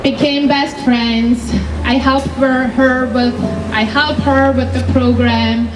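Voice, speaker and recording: A woman's voice: high voice, fairly even intonation, takes it slowly. A woman from Austria with an Austrian German accent, German-born, giving an earnest speech as guest sponsor. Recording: roomy, distant mic, loud music.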